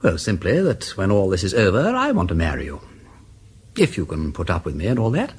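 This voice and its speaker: An actor playing a breezy, gallant English aristocrat detective with an upper-class accent, foppish, chatty and self-deprecating, in British radio drama style. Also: male voice, low-pitched, wildly swooping pitch, fairly quick, darkish tone, a little hiss or hum. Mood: earnest, gallant. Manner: foppish, chatty, hesitant politeness.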